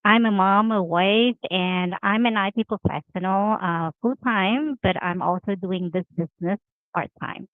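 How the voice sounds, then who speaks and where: A woman's voice: medium pitch, measured pace, dry recording. A woman from Vietnam with a Vietnamese accent, introducing herself as a panel guest in a friendly way. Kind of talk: casual self-introduction. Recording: tinny phone-like line, dry.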